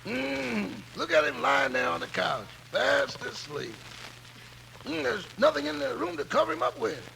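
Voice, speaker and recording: Very gravelly drawling valet, high-pitched. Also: male voice, sing-song, unhurried, exaggerated voice. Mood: fond musing. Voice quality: gravelly, raspy. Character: raspy-voiced loyal wisecracking male valet. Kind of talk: 1950s radio comedy acting. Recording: noisy old radio recording.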